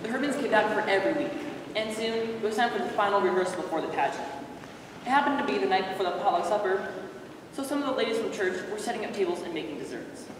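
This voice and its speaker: A woman's voice: mid-range voice, expressive, fast, natural voice. A woman performing as a plain-spoken storyteller, narrating an amateur readers theater skit. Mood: storytelling. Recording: echoey hall from far off, noisy.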